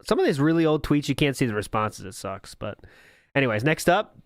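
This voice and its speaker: A man's voice: mid-range voice, big pitch swings, a little fast, no room sound, clean studio sound. A man hosting a podcast, giving sarcastic, mocking commentary on old posts and live chat and laughing often. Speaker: podcast host.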